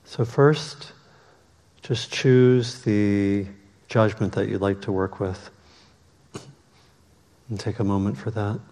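A man's voice, echoing in a reverberant hall, guides a meditation at a very unhurried pace. His tone is mellow and soft-edged, and he leaves long pauses between measured instructions. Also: on the low side, fairly even intonation. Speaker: meditation teacher.

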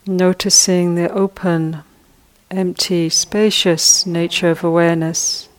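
Slow, even-toned woman guiding meditation. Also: fairly low pitch. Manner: slow, soft, gently paced instructions with long pauses. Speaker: meditation teacher. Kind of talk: guided meditation.

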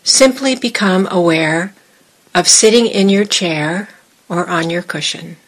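A woman meditation teacher leading a guided meditation, giving measured instructions in short phrases with long pauses. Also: on the low side, rough recording.

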